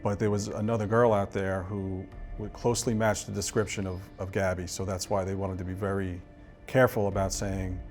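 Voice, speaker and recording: A man as an interview guest recounting a personal ordeal in a halting, restrained way. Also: low voice, flat delivery, a little mellow, faint music behind.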